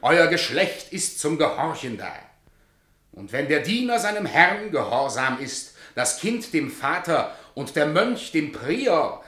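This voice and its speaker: An actor in a German radio play as a devoted, coaxing husband and theatre director, with a theatrical delivery that moves between declamation and murmur. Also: male voice, a little high, sing-song, takes it slowly, pushed and bright, full character voice. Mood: pompous, declaiming.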